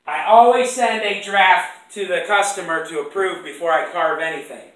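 A man with a cold-roughened, hoarse voice talks through his woodworking steps in a loose, self-correcting way. His tone is bright and forceful, a little higher than most men's. The sound bounces around an echoey shop room, picked up by a camera across the bench. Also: moderate pace, a little hiss or hum. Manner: rambling, self-correcting, apologetic asides. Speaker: woodworking instructor. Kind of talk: casual workshop demonstration. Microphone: fixed camera across the workbench.

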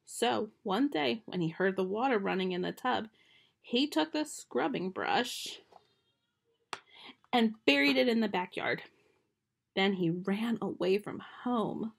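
A young woman reading a children's picture book aloud at home in a gentle storytime manner, recorded on a close webcam in a small bedroom. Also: medium pitch, lively intonation, measured pace, vocal fry, husky.

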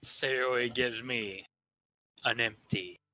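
Slow-paced man narrating, bright voice. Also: medium pitch, steady tone, faint music behind, low-quality recording. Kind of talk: visual novel narration with casual asides.

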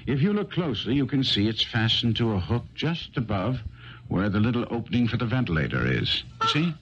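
An actor playing a shrewd, methodical gentleman detective in a 1970s radio drama, musing with hums and probing questions. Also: medium pitch, sing-song, fairly quick, natural voice. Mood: probing, intrigued. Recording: dull, noisy 1970s radio recording.

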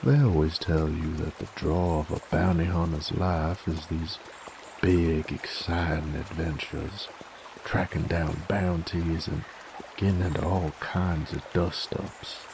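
A man's voice: rumbling low, level tone, takes it slowly, noisy background, full character voice. A man voicing a laid-back, teasing, dominant werewolf bounty hunter in roleplay ASMR, with a folksy, wry American Southern or Western drawl.

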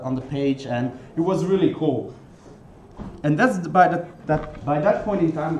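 A man's voice: a little high, dark tone. Young Belgian man speaking in an echoey hall. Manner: many ums and restarts, earnest. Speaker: conference speaker. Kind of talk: conference talk. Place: conference hall. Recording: echoey hall with faint noise.

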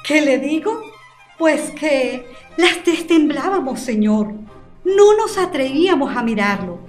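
A woman's voice: high voice, animated, slow pace. A woman playing a frightened, earnest witness in a radio courtroom drama, nervous and deferential. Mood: nervous, awed. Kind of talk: dramatic radio play acting. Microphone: studio microphone. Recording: roomy sound with music behind.

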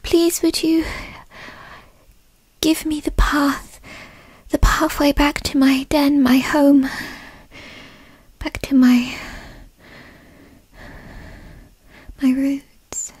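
A woman voicing a bratty, insecure centuries-old kitsune fox spirit in a huffy, breathy ASMR whisper, close to the mic. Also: high-pitched, flat delivery, a little mellow, dry recording. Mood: pleading. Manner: huffy, sighing, breathy whispering, drawn-out words. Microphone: close microphone in a dry space.

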